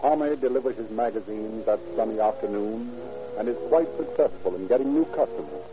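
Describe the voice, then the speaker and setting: Guy narrating old radio drama, melodramatic, muffled, loud music under him. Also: medium pitch. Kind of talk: dramatic scripted radio narration, 1940s manner.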